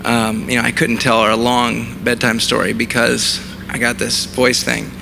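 Hoarse thirties pastor, gravelly mid-pitched voice, self-mocking humor; recorded over a steady noisy hum. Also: male voice, moderate pace. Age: 30s. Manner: self-deprecating humor, conversational preaching. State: hoarse, losing his voice. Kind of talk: sermon.

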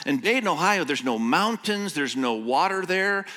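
A middle-aged man who grew up in Indonesia, with Indonesian as his first language, preaching a sermon as a guest pastor, telling stories and reading scripture slowly with emphasis. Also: fairly high pitch, big pitch swings, sharp tone. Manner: storytelling, slow emphatic scripture reading, quick asides. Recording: long church hall reverb.

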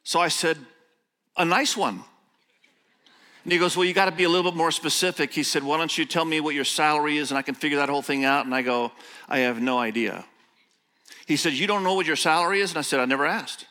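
A middle-aged man who grew up in Indonesia, with Indonesian as his first language, preaching a sermon as a guest pastor, telling stories and reading scripture slowly with emphasis. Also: a little high, pushed and bright. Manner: storytelling, slow emphatic scripture reading, quick asides. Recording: long church hall reverb.

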